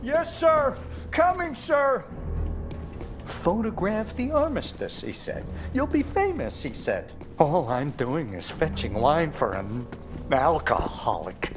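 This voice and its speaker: Animated, put-upon young army photographer. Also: male voice, a little high, takes it slowly. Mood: dutiful, grumbling. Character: eager but resentful young male army photographer. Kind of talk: game drama dialogue. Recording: music behind the voice.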